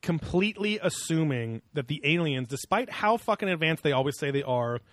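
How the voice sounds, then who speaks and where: Co-host, man, higher-pitched, rambling and profane, dry close-mic sound. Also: expressive, fairly quick, a bit bright, no echo. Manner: rambling, laughs often, swears casually. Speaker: podcast co-host. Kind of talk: casual chat.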